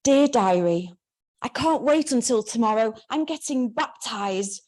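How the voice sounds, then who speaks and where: A woman's voice: a little high, expressive, measured pace, darkish tone, voice pushed up. A woman voicing her younger self as an earnest teenage girl reading out her diary, gushing and sincere.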